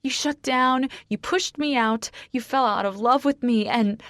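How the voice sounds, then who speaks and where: A woman's voice: lowish voice, measured pace, dark tone, husky, dry recording, studio quality. A woman voicing a heartbroken, defiant, fiery woman with raw emotion, as a character in a romance audiobook. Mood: anguished, screeching.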